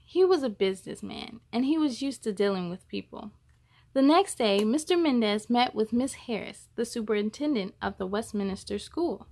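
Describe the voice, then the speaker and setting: A woman reading a children's picture book aloud in an expressive, measured storytelling style. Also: fairly high pitch.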